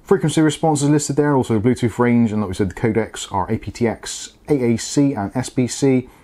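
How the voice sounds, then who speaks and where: A man's voice: mid-range voice, a little fast, dark tone. A man in his forties presenting a casual product review to camera, chatty and explanatory in manner. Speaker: tech review host. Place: small indoor home studio with brick-look wall.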